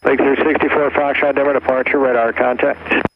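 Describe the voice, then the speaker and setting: A man's voice: mid-range voice, flat delivery, brisk. A man working as an air traffic controller, speaking clipped phraseology over aircraft radio. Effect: aviation radio. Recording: muffled, noisy aircraft radio.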